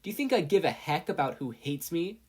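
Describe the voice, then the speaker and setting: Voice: medium pitch, sing-song, a little fast, slightly rough, pitched above the performer's own voice. A reader's brash, snappy teenage boy. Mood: irritated. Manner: blustering, snappish. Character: brash, irritable teenage boy hero student.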